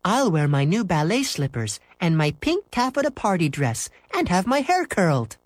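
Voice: mid-range voice, big pitch swings, normal speed, no echo, voice pushed up. A storybook narrator voicing a proud, dainty little girl. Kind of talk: children's storybook character voice.

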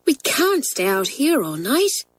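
A woman's voice: high voice, sing-song, a little slow, slightly rough, pitched above the performer's own voice, heavily acted. A woman voicing Isabel, an anxious schoolgirl whose voice quivers.

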